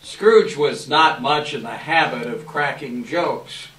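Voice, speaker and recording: A man narrating a classic story at a live reading, with measured, theatrical and emphatic phrasing. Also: mid-range voice, a bit bright. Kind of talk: dramatic scripted narration, live readers theater. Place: indoor live event room. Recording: echoey room, distant mic, faint noise.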